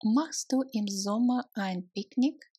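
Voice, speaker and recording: A woman's voice: a little high, takes it slowly, warm tone, breathy edge, no room sound, studio quality. A woman language teacher drilling short phrases, saying each one, breaking it into chunks, then repeating it. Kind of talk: slow phrase drill with translations.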